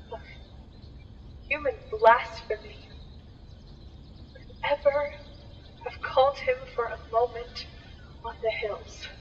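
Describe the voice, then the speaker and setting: A woman playing a grave, learned doctor in a radio drama, speaking in a solemn, deliberate, declamatory way. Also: fairly high pitch, a little mellow. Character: grave, learned doctor warning of otherworldly evil. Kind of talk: theatrical radio drama acting. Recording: muffled, echoing, distant, noisy.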